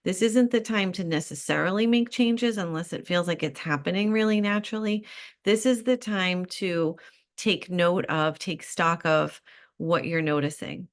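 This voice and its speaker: Calm, lower-voiced woman giving gentle advice. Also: normal speed. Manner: calm, reflective, encouraging, with frequent fillers. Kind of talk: solo advice talk.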